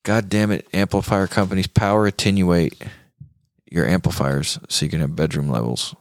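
Male talk show host, low-pitched, dry sound, informal and slangy guitar chat with emphasis. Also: fairly even intonation, moderate pace, no echo.

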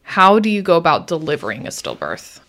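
A woman's voice: on the low side, huge pitch swings, fairly quick, fairly bright tone. A woman hosting a podcast, speaking in a conversational interviewing style.

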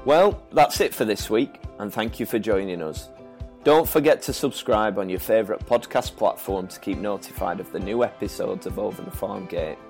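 A man's voice: on the low side, normal speed, gravelly, a little mellow, soft background music. A man co-hosting a podcast, reading the sign-off in a friendly, polished way. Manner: friendly, polished outro delivery. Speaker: podcast co-host.

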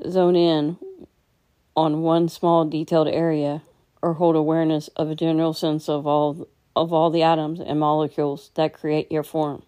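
A woman leading a guided meditation as a teacher, speaking in a gentle, unhurried, instructing way. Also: low voice, flat delivery.

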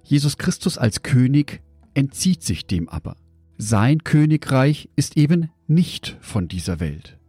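A man giving a scripted devotional in a measured, reflective way, speaking directly to the listener. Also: mid-range voice, big pitch swings, mellow, breathy edge, no room sound, faint music behind.

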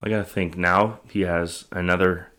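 A man's voice: sits low, steady tone, moderate pace, fairly bright tone. A man co-hosting a true crime podcast in casual chat, reacting tentatively and thinking aloud.

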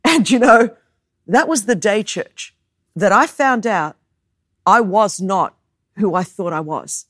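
A woman in her thirties, raised in Australia with Greek as her first language, preaches in an animated, storytelling way with self-mocking humor and emphatic repetition. Her voice sits at a middle pitch for a woman and comes across close and dry, with no room sound around it. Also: slow pace, pauses often, dry recording. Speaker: guest preacher. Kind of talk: sermon with personal story.

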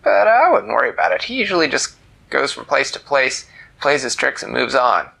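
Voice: mid-range voice, sing-song, moderate pace, gravelly, pushed and bright, heavily acted. A storyteller voicing an ancient, grumpy male cat who talks in curt, whispery grumbles. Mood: grumbling.

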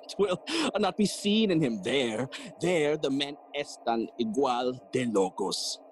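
An actor playing a jolly, cheeky gravedigger who riddles and teases in a theatrical bilingual Spanish-English Shakespeare audio play. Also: male voice, mid-range voice, lively intonation, slow, soft background music. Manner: teasing, sighing and chuckling, theatrical.

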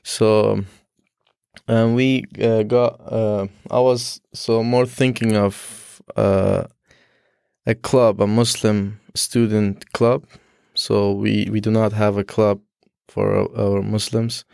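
Young guy from afghanistan, first language dari, gravelly low voice, stops and restarts a lot. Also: level tone, a little mellow, no room sound, clean studio sound. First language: Dari Persian. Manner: halting, many restarts and fillers. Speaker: student guest. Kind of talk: casual interview.

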